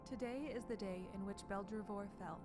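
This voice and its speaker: Solemn female spirit of light, acted by a woman, fantasy audio drama; formal, measured delivery over loud music. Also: mid-range voice, lightly acted. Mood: solemn, consoling. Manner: grave, formal, measured proclamation. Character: solemn luminous female spirit offering hope.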